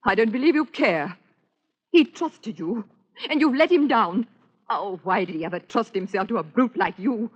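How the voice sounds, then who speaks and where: A woman's voice: medium pitch, animated, moderate pace, husky. An actress playing a bitter, defiant, accusing woman with an emotional, sarcastic manner in an old-time radio melodrama. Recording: muffled old radio recording.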